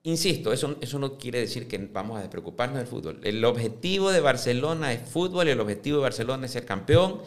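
A man in his fifties answering interview questions on a podcast, speaking in a measured way that often restarts and repeats words as he builds his points. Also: mid-range voice, expressive. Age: 50s. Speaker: club presidential candidate.

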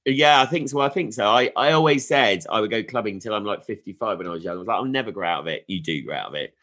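A man from England hosting a podcast in a friendly, upbeat way. He fires off quick questions and gives cheerful sign-offs. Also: fairly low pitch, expressive, a bit bright. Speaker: podcast host. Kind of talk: casual interview and podcast outro.

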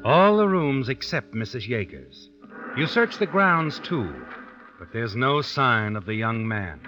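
An actor playing a knowing, suspenseful narrator who tells an old-time radio drama in the second person. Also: male voice, medium pitch, sing-song, measured pace. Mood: ominous, insistent. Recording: dull vintage radio recording with music.

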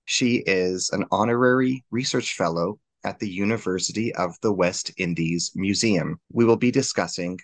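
A man hosting an academic podcast interview in a formal, deliberate way. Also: low-pitched. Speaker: podcast host.

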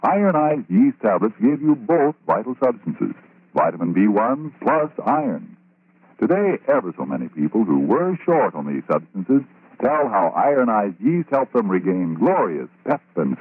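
Male 1940s radio announcer, very low and raspy, emphatic formal delivery, dull archival sound. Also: very animated, a little slow. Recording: muffled old radio recording, music behind.

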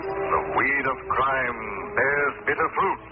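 An actor playing a sinister, mysterious avenging phantom in ominous, drawn-out 1940s radio melodrama. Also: male voice, medium pitch, steady tone, music in the background, lightly acted. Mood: menacing. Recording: muffled, very noisy old radio recording.

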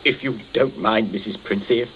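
Actor as stammering, frightened captain. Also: male voice, on the low side, natural voice. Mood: shaken, polite. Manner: stammering, halting. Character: terrified, shaken captain, polite and cowed. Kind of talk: 1940s radio acting. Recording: muffled, noisy 1940s radio recording.

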